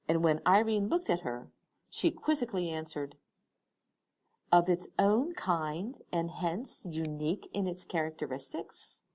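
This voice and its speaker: A woman reading an audiobook story aloud in expressive scripted narration. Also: low-pitched. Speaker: audiobook reader.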